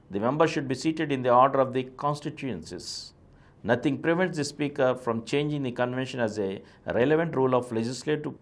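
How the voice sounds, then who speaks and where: A man with an Indian accent reads a political essay aloud in a formal, emphatic manner with deliberate phrasing. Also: medium pitch. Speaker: reader and presenter.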